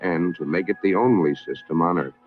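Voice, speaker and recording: An American man narrating a scripted 1960s public information film in a formal, measured, emphatic manner. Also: low-pitched. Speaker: documentary narrator. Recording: narrow-band vintage film soundtrack, music behind.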